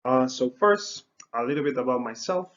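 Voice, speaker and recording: A man's voice: mid-range voice, expressive, normal speed, a bit bright, highs cut off. A middle-aged man from the Republic, giving an extension webinar talk and filling his sentences with uh and um and restarts. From Dominican Republic. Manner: lots of uh and um, frequent restarts. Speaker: university horticulture researcher.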